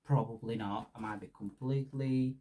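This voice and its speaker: A man's voice: mid-range voice, fairly even intonation, moderate pace, warm tone. A young man from Hungary with a Hungarian-Lancashire accent, hosting a casual podcast and rambling with lots of restarts and ums. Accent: Hungarian with Lancashire English. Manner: rambling, many restarts and ums, laughs shyly. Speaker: podcast host. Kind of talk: casual solo podcast chat.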